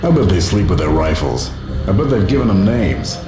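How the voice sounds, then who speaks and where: A man's voice: deep voice, very animated, a little fast. An actor playing a mocking, incredulous henchman, teasing and sarcastic in casual banter. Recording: roomy sound with music behind.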